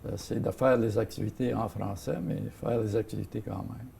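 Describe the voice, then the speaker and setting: An older man with a Franco-Ontarian French accent talks through an interview with many hesitations and fillers. His voice is strongly gravelly, pitched somewhat low and dark in tone. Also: fairly even intonation, fairly quick. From Ottawa, Canada. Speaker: guest, seniors' association president. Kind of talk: casual interview talk.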